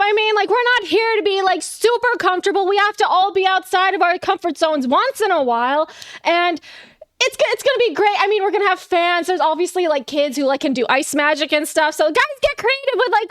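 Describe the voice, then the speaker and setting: A woman's voice: very high voice, dry recording, studio quality. A young adult woman narrating a tabletop game as game master, chatty and upbeat with lots of fillers.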